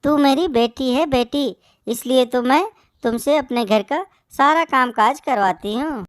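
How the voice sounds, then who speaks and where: A cruel cartoon mother bird, played by a woman, speaks in a high voice. She scolds harshly and draws her words out slowly, favouring her son over everyone. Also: no room sound, clean studio sound. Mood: scolding, dismissive. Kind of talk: Hindi moral-story cartoon dub.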